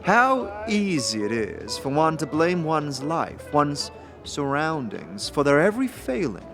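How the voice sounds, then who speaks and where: A man's voice: on the high side, sing-song, a little slow, a bit bright, pitched above the performer's own voice, heavily acted. A man voicing a stern, haughty older noblewoman with formal, imperious speech in a fantasy audio drama. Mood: stern, lecturing. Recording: music under the voice.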